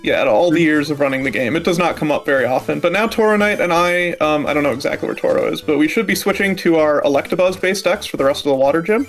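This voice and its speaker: A man with a fairly high voice gives live speedrun commentary. He explains in a measured, slightly hesitant way while game music plays faintly behind him.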